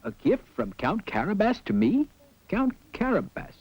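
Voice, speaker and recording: An actor voicing a grand, haughty older man in a cartoon fairy tale, with a husky voice. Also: high-pitched, wildly swooping pitch, a little slow, no echo, heavily acted. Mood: regal, puzzled. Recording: dull audio with faint music.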